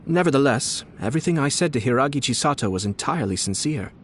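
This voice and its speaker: An actor playing a composed, shrewd young nobleman in a game, courteous and measured, with polished formal speech and a quietly scheming, teasing air. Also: medium pitch, lively intonation, a little hiss or hum, lightly acted. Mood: calm, calculating. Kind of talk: scripted game dialogue.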